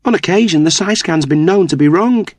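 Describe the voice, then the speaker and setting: A man's voice: sits high, fairly quick, no echo, voice pushed up. A man voicing an earnest, precise android who explains things formally, in a science fiction audiobook. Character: earnest, precise male android aboard a spaceship.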